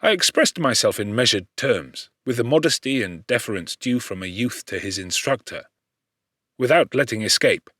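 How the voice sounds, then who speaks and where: A man reading literary narration for an audiobook in a measured, formal and dramatic manner. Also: medium pitch, a bit bright, dry recording, studio quality.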